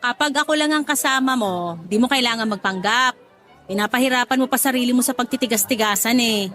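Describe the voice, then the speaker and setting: A woman's voice: on the high side, a little fast, a bit bright, soft background music, lightly acted. A woman acting a teasing, affectionate street-vendor mother in a Tagalog radio drama, bantering in a Filipino accent. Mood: amused teasing.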